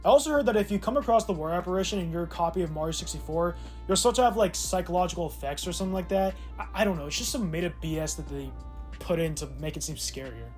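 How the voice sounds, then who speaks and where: Guy with a pretty high voice doing snarky casual video commentary, bit of music behind him. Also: animated, quick pace, a little mellow. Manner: sarcastic, irreverent. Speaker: video essay host. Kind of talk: casual scripted commentary with ad-libbed asides.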